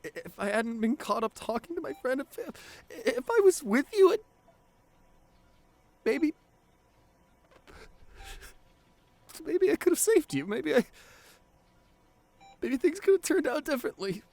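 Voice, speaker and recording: A man playing a devoted, grieving boyfriend at a hospital bedside in an intimate ASMR roleplay, speaking tenderly and haltingly with emotion. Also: very high-pitched, big pitch swings, darkish tone, dry recording, full character voice. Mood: tearful, guilt-ridden. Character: devoted, grieving boyfriend visiting his comatose partner in hospital.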